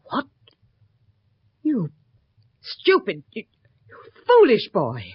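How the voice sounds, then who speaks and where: A woman's voice: on the high side, huge pitch swings, extremely slow, slightly rough, no room sound, exaggerated voice. A woman playing a severe, pious old grandmother with commanding dramatic delivery in a 1970s British radio horror play. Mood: angry scolding. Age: older. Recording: dull lo-fi radio drama recording.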